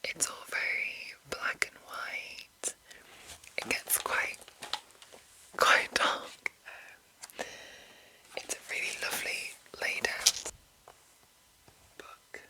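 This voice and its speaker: A woman whispering softly and unhurriedly in an ASMR style, explaining things in a loose, rambling way with drawn-out pauses. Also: poor audio. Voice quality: breathy unvoiced whisper. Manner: slow, hushed, drawn-out pauses. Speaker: ASMR host introducing a roleplaying game.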